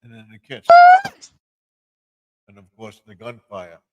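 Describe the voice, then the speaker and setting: Low-voiced man hosting a casual livestream. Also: steady tone, noisy background. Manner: repetitive, halting. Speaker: livestream host.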